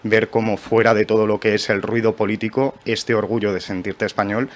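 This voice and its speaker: A man's voice: fairly low pitch, steady tone, fairly quick. A young man with an accent from Spain giving his opinion as a panelist, earnest and articulate, in long sentences. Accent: Spanish from Spain. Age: young adult. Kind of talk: opinion discussion.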